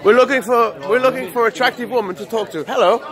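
A man's voice: very high voice, quick pace. Brash, swearing man vlogging on a crowded street. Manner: brash, joking, swears a lot. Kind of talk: loud casual street vlog. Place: busy city street at night. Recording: noisy crowd around. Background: crowd chatter.

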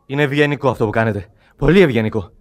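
A man's voice: mid-range voice, close to an everyday voice. An actor playing a proud, honest young man who protests bluntly and emphatically in a radio theatre comedy. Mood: stubborn refusal.

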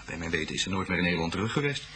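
Young male student, radio drama: informal, faltering, slightly low pitch, highly varied intonation. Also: lively intonation, racing, pushed and bright. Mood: hesitant, chatty. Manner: hesitant, informal, faltering explanations. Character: hesitant, chatty young male university student. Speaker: actor in a police radio drama. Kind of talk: Dutch radio drama acting.

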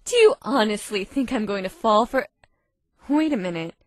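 A woman plays a haughty cartoon woman at an ordinary female pitch. She is curt, commanding and sarcastic, in a dry recording. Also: animated, normal speed, dry recording, lightly acted. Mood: stern, sarcastic. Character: stern, haughty adult woman confronting intruders.

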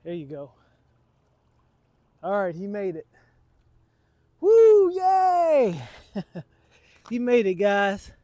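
A man's voice: sits high, huge pitch swings, drawn-out words, long pauses, dark tone, no room sound. A middle-aged man hosting an outdoor fishing vlog, talking casually to his viewers from a waterfront dock. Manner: chatty, addresses viewers as guys. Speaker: fishing channel host. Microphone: camera on a tripod, sometimes selfie-held.

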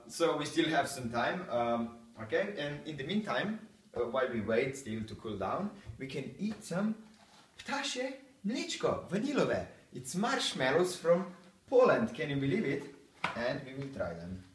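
A man's voice: medium pitch, big pitch swings, measured pace, recorded from a distance. A young man, hosting a homemade cooking show in a small kitchen with loose, joking chatter. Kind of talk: playful mock cooking-show talk. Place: small home kitchen, indoors. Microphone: camera a few feet away. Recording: roomy, off-mic kitchen sound.

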